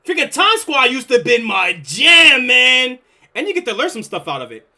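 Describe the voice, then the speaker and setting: A young man streaming, reacting casually to a video, excitable and swearing freely. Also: very high-pitched, normal speed, vocal fry. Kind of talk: live reaction stream chat.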